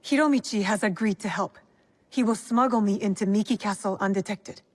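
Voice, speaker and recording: An actress playing a loyal, composed shinobi in a video game, speaking in a calm, understated, assured way. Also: female voice, mid-range voice, fairly quick, natural voice. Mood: focused, reassuring. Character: loyal, composed female shinobi, quietly determined. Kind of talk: dramatic video game acting.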